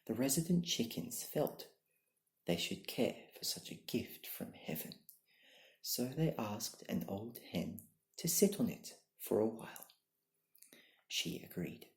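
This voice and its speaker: A young adult reading bedtime stories in a soft-spoken, partly whispered ASMR style, with a gentle, deliberate and hushed delivery. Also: very animated. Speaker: ASMR storyteller. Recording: low-quality, echoey room recording.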